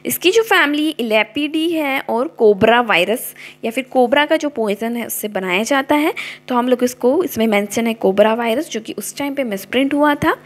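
A woman teaching a lecture in a high voice on the she weaves in. She works through a textbook page line by line, pausing often to check that her listeners follow. She speaks as a voice-over on a close mic. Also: lively intonation. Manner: explains each line, often checks with "ठीक है". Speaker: homeopathy lecturer. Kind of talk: explanatory lecture over annotated book pages.

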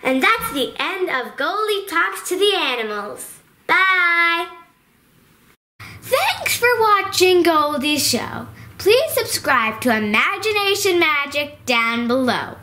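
A perky girl puppet hosting a kids' talk show. The voice is extremely high, bright and lively, sweet and eager, in an echoey room. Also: slow, heavily acted. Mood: cheery. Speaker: host. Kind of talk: children's puppet show presenting. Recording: roomy echo.

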